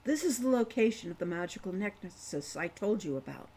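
Serious older brother voiced by a woman, businesslike, cautious, restrained, slightly husky, fantasy audiobook. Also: mid-range voice, very animated, a little fast, a little mellow. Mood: calm.

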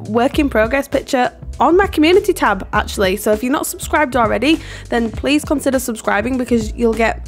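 Hoarse chatty woman. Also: on the high side. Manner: rambling, apologetic, laughs often. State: hoarse, losing her voice. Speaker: game builder and video host. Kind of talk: casual chatty voiceover over a speed build. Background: soft background music.

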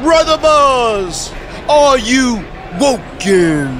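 An eccentric video game wrestler who talks like a lofty mystic. The voice is very high for a man, slow and pompous, with faint noise behind. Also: very animated, crowd noise, exaggerated voice. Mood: lofty, solemn. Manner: pompous, archaic, drawn-out grand delivery.

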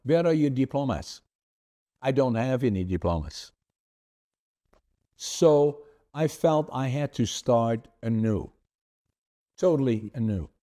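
Older dutch guy hosting a podcast over a video call, english is his second language, talks slow and careful, warm low tone, earnest about his life story. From Netherlands. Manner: earnest, deliberate, gestures with his hands. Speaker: podcast host. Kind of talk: conversational storytelling. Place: home office with framed certificates.

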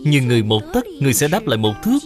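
A man's voice: mid-range voice, very animated, very fast, over music. A man reading scripted moral storytelling as a narrator.

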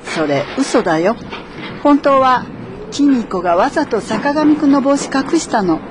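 A woman's voice: high voice, animated, measured pace, noisy background. A woman playing a frank, teasing former classmate in a naturalistic radio drama.

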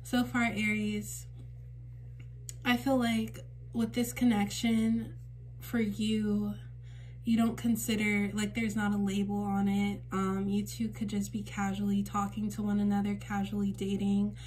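Woman, raspy voice, mellow tone, flat even delivery, faint hum behind, home reading. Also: mid-range voice, a little slow. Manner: gentle, reassuring, uses many fillers. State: raspy voice for a few days. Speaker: tarot reader and channel host. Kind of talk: casual spiritual reading to camera. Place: indoors at a table in a home room.

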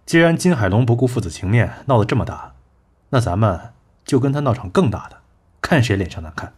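An actor playing a shrewd male business partner with a brisk, wry and joking manner. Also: medium pitch, lively intonation, a little mellow, breathy edge. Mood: scheming. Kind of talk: amateur audio drama acting.

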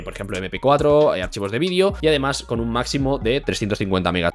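A man in his thirties with an accent from Spain presents a casual software tutorial in a conversational way, often checking in with listeners. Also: mid-range voice. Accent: Spanish from Spain. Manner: conversational, frequent check-in tags. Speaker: content creator, tutorial host. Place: small home room. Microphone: close desk microphone on boom arm. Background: faint music.

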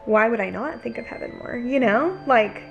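A woman's voice: a little high, normal speed, vocal fry, muffled recording, faint music behind. A woman in her twenties, chatting casually to the camera at home, earnest and filled with "like" and "you know". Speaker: lifestyle vlogger. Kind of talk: casual vlog talk to camera. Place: home kitchen and living room couch.

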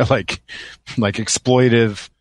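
A man hosting a podcast, talking casually about video games in a halting, rambling way. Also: medium pitch, steady tone, dry recording. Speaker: podcast host.

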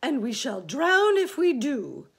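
A doom-laden, irritable male earthworm from a children's storybook as a woman acts him out. He complains loudly and emphatically, pitched up, with big swoops. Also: animated, slow, husky, exaggerated voice. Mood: indignant. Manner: loud, emphatic wailing protest. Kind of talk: children's storybook read aloud.

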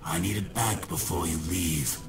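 A man's voice: low voice, moderate pace, a crowd in the background, lightly acted. A man voicing a gleeful, theatrical shadowy ghost villain in a video game, mocking and menacing, with a taunting, hammy delivery that swings from mutters to shrieks. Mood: sly, mocking. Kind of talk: exaggerated cartoon video game villain acting. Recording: loud game music behind the voice. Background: loud video game music.

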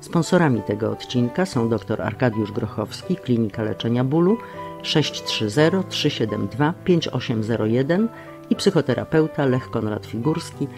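A man's voice: mid-range voice, expressive, normal speed. A man working as an announcer, reading scripted radio drama credits. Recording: music under the voice.

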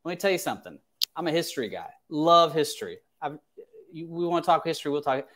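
A man's voice: on the high side, big pitch swings, fast, a bit bright. A man in his thirties hosting an advice livestream as a financial advisor, speaking emphatically and repeating phrases for stress. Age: 30s. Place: small indoor home office.

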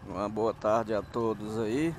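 A man's voice: lowish voice, fairly even intonation, measured pace. A man with a northeastern Brazilian accent chatting casually as he vlogs from a moving motorcycle, in a folksy, rambling way. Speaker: motovlogger. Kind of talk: casual vlog narration while riding. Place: outdoors, riding a motorcycle on town streets. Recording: motorbike and street noise. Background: engine and traffic sounds.

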